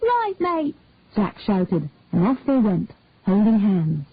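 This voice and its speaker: A woman narrates a short story in a measured, deliberate way, her pitch sitting in the middle range. The recording sounds thin and phone-like, with a completely dry, close sound. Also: animated, slightly rough, dry recording.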